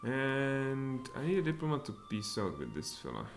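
A man in his thirties with a Czech accent, streaming a strategy game and thinking aloud, with sudden excited outbursts. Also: medium pitch, moderate pace. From Czech Republic. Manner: mutters thoughts aloud, then bursts out excitedly. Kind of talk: live strategy game commentary. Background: faint music and keyboard typing.

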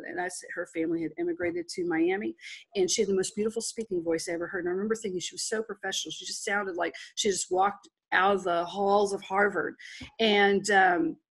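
A woman's voice: mid-range voice, creaky, a bit bright, breathy edge, poor audio. A woman in her forties, a business guest speaking frankly and at length over a video call on a headset. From Texas. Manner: frank and rambling, with restarts.

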